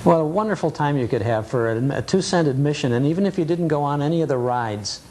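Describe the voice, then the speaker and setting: A man's voice: lively intonation, fast, dark tone. A man hosting a television show, chatting casually and nostalgically with folksy exclamations. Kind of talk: casual nostalgic chat over old film. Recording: noisy rumbly 1980s cable TV audio.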